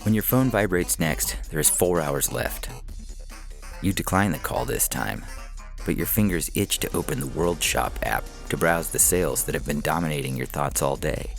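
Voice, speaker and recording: A man with an extremely low voice narrates short fiction. There is a heavy creak and gravel in his delivery, and music plays underneath as he reads. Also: moderate pace. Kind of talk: scripted story narration in second person.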